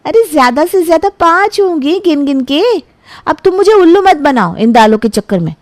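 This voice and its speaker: A woman's voice: sits high, big pitch swings, moderate pace, no echo, studio quality, pitched above the performer's own voice. A woman voicing a sulky, suspicious young girlfriend in a storybook tale, petulant and accusing. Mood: hurt, annoyed. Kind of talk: animated storybook dialogue.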